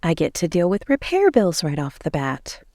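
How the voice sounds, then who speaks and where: Lady acting a stressed out woman in a horror podcast drama, muttering and fed up, pitch jumps around a lot. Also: huge pitch swings, normal speed, warm tone, no room sound, heavily acted. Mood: grumbling, fed up. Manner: muttering, exasperated.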